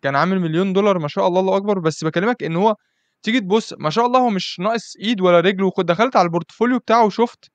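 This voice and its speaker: A man's voice: sits high, racing, no echo. A man with an Egyptian accent hosting a casual podcast interview, chatty and constantly asking "you understand?". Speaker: podcast host.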